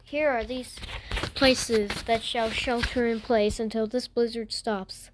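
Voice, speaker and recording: A woman making formal, halting and repetitive emergency announcements in an amateur alert broadcast. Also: fairly high pitch, fairly even intonation, slight background noise.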